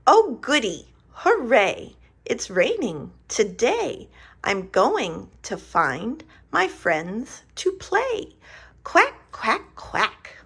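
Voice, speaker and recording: A woman voicing a cheerful little duckling from a picture book, reading slowly in a sing-song way and squealing high on the quacks. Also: wildly swooping pitch, creaky, fairly bright tone, slightly rough, exaggerated voice. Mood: playful.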